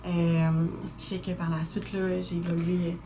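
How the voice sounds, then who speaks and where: A woman's voice: lowish voice, flat delivery. Earnest young Québécois woman, noisy line. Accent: Québécois French. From Quebec. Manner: earnest and articulate, with frequent "euh" pauses. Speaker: guest, new executive director. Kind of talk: radio interview. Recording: noisy community radio studio.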